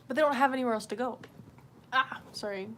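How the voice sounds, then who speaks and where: A woman's voice: fairly high pitch, fast, heavy background noise. A woman chatting casually on a livestream, rambling in a candid, sweary and self-deprecating way. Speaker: livestream host.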